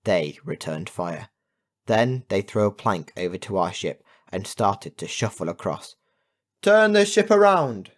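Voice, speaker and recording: Low-voiced man narrating a children's story. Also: measured pace. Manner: expressive storytelling with put-on character voices. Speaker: audiobook narrator.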